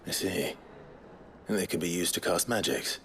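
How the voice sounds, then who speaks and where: An actor playing a grave, terse fantasy warrior in a video game, with a gravelly, restrained voice. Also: male voice, sits low, normal speed, fairly bright tone, slight background noise. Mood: grave, curious. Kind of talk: video game cutscene acting.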